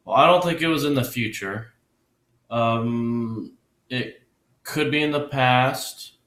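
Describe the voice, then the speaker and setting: A man's voice: mid-range voice, slow, fairly bright tone, echoey room. A man playing a curious young student who hesitates and asks many questions.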